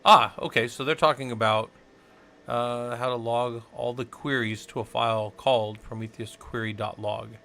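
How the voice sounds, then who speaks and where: A man's voice: mid-range voice, fairly even intonation, moderate pace, sharp tone. A man from the Southwest United States rambling and thinking aloud while teaching a casual live-stream tech tutorial, chatting with viewers as he works.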